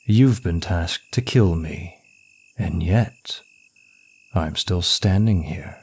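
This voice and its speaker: A very low-voiced, suave demon of lust, acted by a man for an ASMR roleplay. He teases smugly and draws out his words at a slow, deliberate pace, every line seductive. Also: a little mellow, slightly rough, heavily acted.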